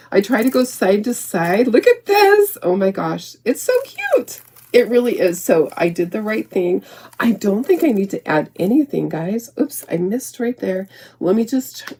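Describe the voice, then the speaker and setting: Squeaky-voiced chatty craft host woman. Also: big pitch swings, normal speed, warm tone, breathy edge. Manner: rambling, self-interrupting, giggly. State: recovering from illness.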